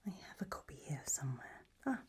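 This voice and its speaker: A woman playing an elegant, macabre aristocratic lady in a whispered ASMR roleplay, breathy, languid and serenely gracious. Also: low-pitched, very animated. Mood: gracious, darkly pleased. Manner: slow, languid, genteel.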